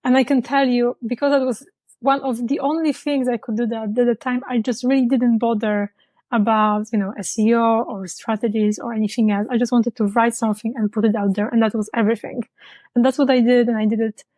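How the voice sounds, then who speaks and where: Woman, reflective and warm. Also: on the high side, steady tone, a little fast, no room sound. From Poland. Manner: reflective, searching for words. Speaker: guest, business founder. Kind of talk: conversational interview.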